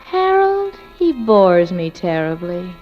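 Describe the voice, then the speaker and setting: Slow, low-voiced dream seductress. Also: female voice, very animated. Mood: cool, assured. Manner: slow, coaxing, intimate. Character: alluring, self-assured dream seductress, a woman. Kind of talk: 1940s radio drama acting. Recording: muffled old radio recording, faint music.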